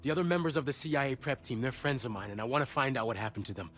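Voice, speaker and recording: An actor playing an earnest, informal CIA contact in a video game cutscene. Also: male voice, mid-range voice, rapid-fire, a bit bright, close to an everyday voice. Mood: insistent, pleading. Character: grateful, earnest rescued male CIA intelligence contact.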